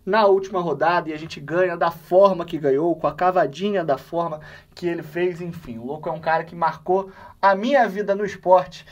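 A man in his twenties hosting a football fan channel, giving voice-over commentary in an emphatic way, stretching key phrases slowly. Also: high voice. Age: 20s. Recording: voice somewhat distant from the mic.

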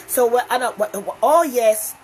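An older Jamaican woman talks straight into her phone from a living room, hosting a loose live-stream talk show. Her voice sits a little high and she gets animated, repeating phrases and calling out viewers by name. There is a faint hiss behind her. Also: moderate pace, rough recording. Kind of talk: casual live-stream chat. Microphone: phone camera close up.

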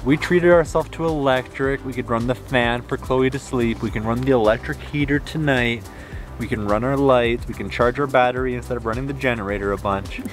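A man's voice: mid-range voice, brisk, soft background music. A young man talking casually to a camera as a travel vlogger. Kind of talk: casual vlog chat to camera. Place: inside a truck and outdoors at a campground. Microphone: camera held at arm's length.

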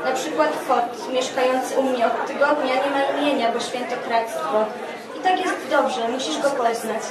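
A young woman reads poetry aloud in measured phrases. Her voice is high, and it carries from a distance through an echoing room with an audience present. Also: fairly even intonation, a loud crowd. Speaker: poet reading own work at a festival. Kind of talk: literary poetry reading. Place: dark stage at a live poetry event, indoors. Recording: roomy live stage sound, distant, audience around.

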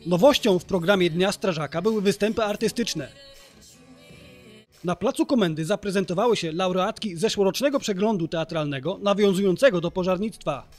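A man's voice: high voice, a little fast. A man reading scripted narration for a local news report. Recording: voice-over with music behind. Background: music.

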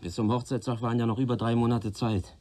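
An actor playing an earnest, uneasy young apprentice in a German radio play, explaining himself hesitantly and apologetically. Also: male voice, mid-range voice, level tone, fast, mellow, lightly acted. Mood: defensive, worried. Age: young adult.

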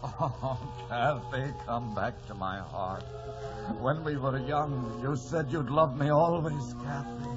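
A man's voice: mid-range voice, slow, close to an everyday voice. An actor playing an anguished, passionate man pining for his lost love in an old radio drama. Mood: yearning. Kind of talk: 1940s radio acting. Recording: muffled old radio broadcast, music.

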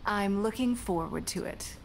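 A woman voicing a poised, shrewd, wealthy businesswoman in a video game, speaking in a measured, genteel, lightly teasing way. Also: mid-range voice, slight background noise, lightly acted. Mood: reflective, warm. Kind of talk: video game dialogue acting. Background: background music.

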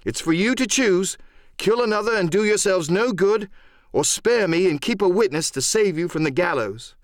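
A man's voice: mid-range voice, big pitch swings, normal speed, no room sound, clean studio sound, pitched above the performer's own voice. A man voicing a proud, defiant boy among pirates in a storybook, speaking in an earnest, emphatic, declamatory way. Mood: defiant, excited.

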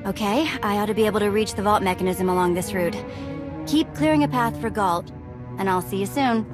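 An actress playing a blunt, impatient thief in a video game, talking in a casual, irritable way. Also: female voice, medium pitch, brisk, over loud music, close to an everyday voice. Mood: grumbling. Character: blunt, impatient female thief, wisecracking tech expert. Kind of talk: video game cutscene acting.

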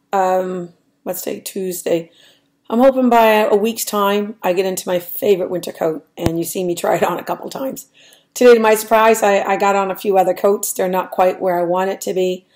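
Raspy middle-aged woman, rambling vlog. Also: on the low side, darkish tone. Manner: rambling, hesitant, many ums and restarts. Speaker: weight-loss vlogger. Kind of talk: casual diary vlog. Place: small room at home, indoors. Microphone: webcam at desk.

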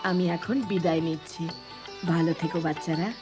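A woman's voice: low-pitched, fairly even intonation, fairly quick, music in the background, lightly acted. A woman voicing a strict, short-tempered schoolteacher in an educational cartoon, commanding and formal. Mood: humbled.

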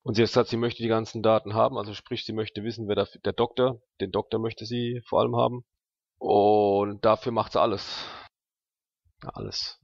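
Male tabletop role-player, gravelly, casual slang, halting delivery, dry and slightly dull recording. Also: fairly low pitch, little pitch movement, no echo. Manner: halting, thinking aloud. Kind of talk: casual slangy in-character dialogue.

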